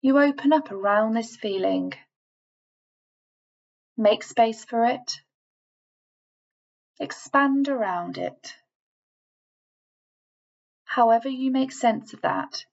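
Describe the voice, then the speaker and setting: Woman doing a guided meditation voiceover, really slow with pauses, kinda high voice, bit muffled recording. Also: creaky. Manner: measured, instructing, with deliberate pauses between phrases. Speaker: guided relaxation narrator.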